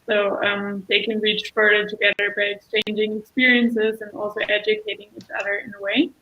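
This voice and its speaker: A woman's voice: medium pitch, little pitch movement, brisk, pushed and bright, low-quality recording. A woman introducing herself on a video-call panel, friendly and orderly. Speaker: panel co-host, partnerships worker. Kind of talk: casual online panel talk.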